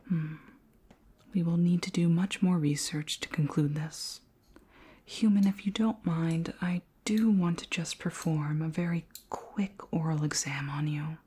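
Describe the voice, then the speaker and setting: A woman playing a curious, flirty alien queen in an ASMR roleplay, speaking in a soft, breathy whisper with formal, gently clinical politeness. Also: sits low, full character voice. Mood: gentle, reassuring. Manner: slow, gentle, formal questioning.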